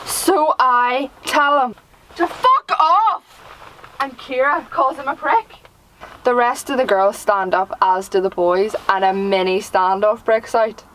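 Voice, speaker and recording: A woman's voice: sits high, a bit bright, slight background noise, rough recording. A young woman with a Northern Irish accent playing a sardonic working-class schoolgirl telling her story in a conversational stage monologue. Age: young adult. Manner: conversational storytelling, sweary, mimicking others. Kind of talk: theatrical monologue.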